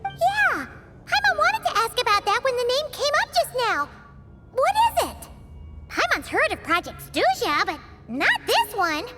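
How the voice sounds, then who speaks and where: Chirpy tiny companion, very high and lively; game scene over loud score. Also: female voice, unhurried, over loud music, exaggerated voice. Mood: curious. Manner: speaks of herself in third person, exclamatory. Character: tiny floating female companion, chirpy and nosy. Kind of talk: video game voice acting.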